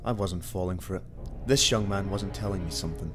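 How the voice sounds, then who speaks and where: A man's voice: deep voice, brisk, lightly acted. A man playing a young, hard-nosed, suspicious police detective in an amateur radio play. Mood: brooding, suspicious. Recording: music and rain under the voice.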